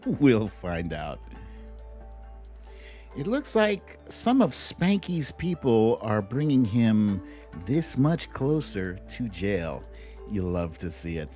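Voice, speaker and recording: A man's voice: mid-range voice, warm tone. A middle-aged American man chatting casually and playfully with viewers as host of a livestream. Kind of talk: casual live chat with viewers. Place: home studio.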